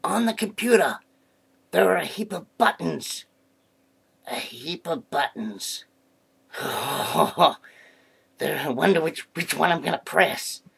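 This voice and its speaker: Very creaky, husky mischievous duck played by a woman. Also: deep voice, animated, takes it slowly, long pauses, a bit bright, exaggerated voice. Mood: mischievous, curious. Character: playful, mischievous talking duck who loves pressing buttons. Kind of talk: children's comedy skit.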